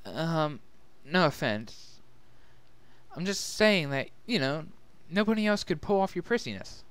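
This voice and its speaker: A man voicing a brash, awkward young female pony with a blunt but halting manner, in a dramatic story reading. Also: on the high side, lively intonation, fairly bright tone, clean tone, no echo, voice pushed up. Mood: awkward, sheepish. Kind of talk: dramatic fan-fiction reading.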